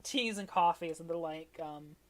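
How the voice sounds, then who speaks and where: A woman with a fairly low voice narrates a tabletop roleplaying game as its game master. She improvises in an informal, wry way, talking casually to her players. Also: moderate pace, a crowd in the background.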